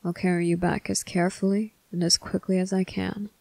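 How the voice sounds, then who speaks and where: A performer playing a stern, protective male vampire officer in an ASMR roleplay, speaking deliberately and sometimes hushed, with a commanding manner. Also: medium pitch, little pitch movement, gravelly, dry recording, lightly acted. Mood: firm, steady. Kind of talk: ASMR roleplay addressed to the listener.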